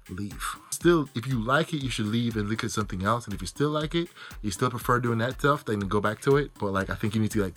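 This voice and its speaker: A man's voice: on the low side, bright, forceful tone. A man, an author, answering interview questions in a casual, blunt way, often saying "like" and "right?" and swearing freely. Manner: conversational, frequent "like" and "right?", blunt and profane. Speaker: author guest.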